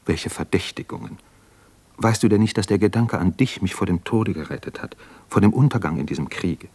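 Deep-voiced, earnest young doctor-poet. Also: male voice, fairly quick, a little mellow, husky. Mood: agitated, pleading. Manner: reflective, literary, intense. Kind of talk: 1950s German radio drama acting.

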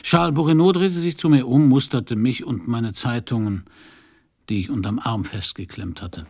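A world-weary private detective in a radio noir. His voice is husky and full of gravel, at a middling male pitch, and he talks in a dry, laconic, sardonic way. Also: moderate pace, a little mellow. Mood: narrating, sardonic. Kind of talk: German radio crime play narration and dialogue.

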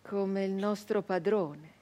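A woman's voice: mid-range voice, slow, close to an everyday voice. An actress playing a bitter, haunted, fierce 19th-century peasant woman turned brigand in a theatrical monologue, with emphatic, incantatory delivery. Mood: hushed, bitter. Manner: emphatic, incantatory repetition, swinging from hushed to loud.

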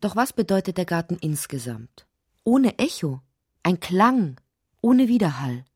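Woman reading a literary radio play, kinda low n breathy, poetic delivery, real dry close sound. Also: very animated, a little slow, a little mellow, no echo. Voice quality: breathy, often dropping toward a whisper. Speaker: narrator. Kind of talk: literary audio drama narration.

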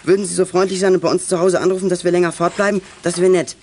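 A man's voice: high-pitched, flat delivery, very fast, noisy background. A young man playing an eager, polite teenage boy detective in a children's radio drama, speaking earnestly. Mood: urgent, polite. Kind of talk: 1980s German children's radio drama acting.

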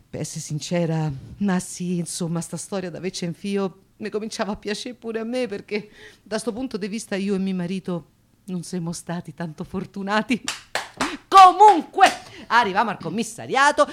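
An actress playing a brash, voluble working-class aunt with a Roman accent in an Italian radio drama, talking colloquially with dramatic exclamations. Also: female voice, medium pitch, close to an everyday voice.

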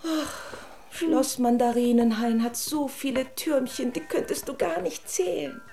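An actress playing a haughty, dreamy, easily offended fairy-tale princess in a German radio play, with theatrical, self-important sighs. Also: female voice, high-pitched, a little slow, vocal fry, dark tone, soft background music.

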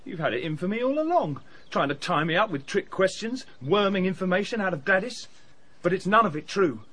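An actor playing a defensive, hot-tempered young Englishman in a radio drama, loud and agitated in protest. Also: high voice, very animated, fairly quick, exaggerated voice. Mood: bitter, defensive.

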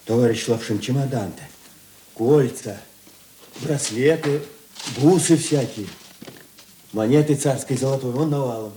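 An actor playing a good-natured, thrifty police operative who grumbles and banters in a radio play. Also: male voice, medium pitch, a little slow, pauses often, distant mic, lightly acted. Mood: matter-of-fact. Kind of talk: Russian radio drama acting. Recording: echoey, noisy with clicks.

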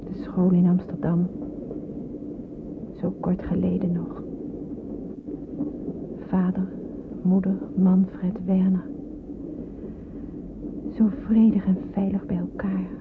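An actress playing a frightened, lonely young refugee in a radio drama, with hesitant, wistful first-person narration. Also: female voice, on the low side, flat delivery, slow pace, pauses often. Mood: wistful, forlorn. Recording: muffled, noisy old radio play recording.

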